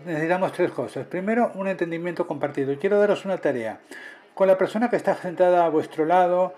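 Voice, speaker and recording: A man with a notably high voice interprets a conference talk live. He follows the speaker in short bursts and corrects himself mid-phrase as he goes. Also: quick pace, darkish tone. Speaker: simultaneous interpreter.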